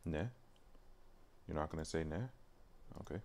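A man with an extremely low, creaky voice gives casual live-stream commentary. He speaks in halting bits, muttering as he works things out. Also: expressive, darkish tone. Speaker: live streamer working on a game mod. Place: indoors at a computer, keyboard typing.

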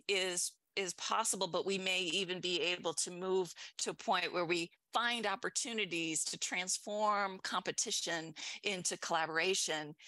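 A woman in her forties sums up an academic talk in an animated way, with a few fillers. Her voice is bright and forceful, a little low for a woman. It is recorded close and dry through a headset. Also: no room sound. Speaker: panelist, speaker. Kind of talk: academic presentation. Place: indoor room, video call.

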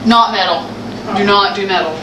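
A woman's voice: medium pitch, moderate pace, noisy background. A woman chatting casually and bluntly while cooking in a home kitchen, in character as a cosplayer.

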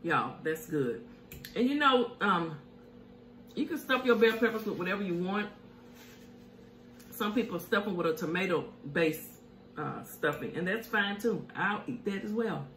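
A woman's voice: mid-range voice, animated, measured pace, long pauses, a bit bright. A middle-aged woman, chatting casually as she eats and hums over her food while hosting a home cooking show. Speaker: mukbang cooking show host. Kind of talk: casual chat while eating. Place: home kitchen, indoors. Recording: echoey room with faint hiss.

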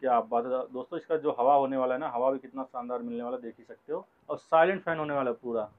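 A man's voice: mid-range voice, fast talker, phone-quality sound. A man hosting a promotional product showcase, talking in effusive, repetitive sales patter. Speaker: host presenting products at a wholesale shop. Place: small cluttered shop, indoors.

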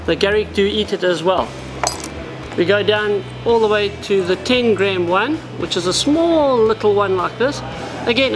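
A man's voice: high-pitched, low-quality recording. Brief: South African man, 40s, tackle shop presenter, plain practical delivery, music in the background. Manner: practical, explanatory, addresses viewers as guys. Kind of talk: product demonstration. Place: indoor tackle shop, seated at a wooden counter.

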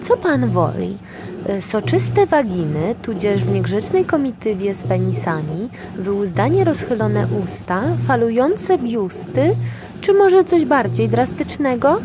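An actress playing a brusque, officious clinic attendant in a dystopian future audio drama, clinical and commanding. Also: female voice, on the high side, very animated, a little slow, music in the background. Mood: brisk, teasing.

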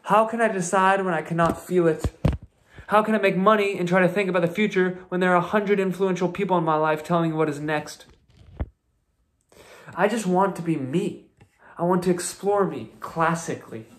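A man's voice: high voice, normal speed, a bit bright. A man in his twenties improvising a searching, intense spoken-word monologue in a small bedroom, recorded on a close laptop mic. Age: 20s. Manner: searching, intense, rising into emphatic outbursts. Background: acoustic guitar strumming.

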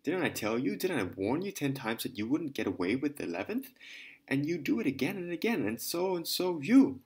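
A man's voice: a little high, very animated, brisk, breathy edge, exaggerated voice. A man reading aloud voicing a hot-tempered police lieutenant who bullies and scolds at the top of his lungs. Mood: furious scolding. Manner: shouting, scolding.

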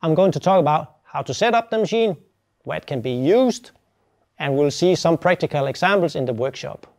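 A man's voice: fairly high pitch, darkish tone. A man in his fifties giving a plain, step-by-step instructional presentation as a technical specialist, recorded on a lapel mic. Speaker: welding specialist presenting a product tutorial.

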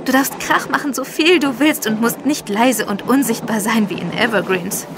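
A woman's voice: on the high side, normal speed, lightly acted. A woman playing a kind, reassuring young woman in a radio drama. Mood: cheerful, reassuring. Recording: clear background ambience noise.

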